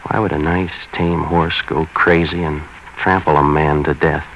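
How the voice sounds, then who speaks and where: An actor playing a cynical, world-weary private investigator, narrating in terse, deadpan slang in a 1940s radio noir style. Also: male voice, rumbling low, unhurried, heavily acted. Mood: dry, matter-of-fact. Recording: muffled, hissy 1940s radio recording.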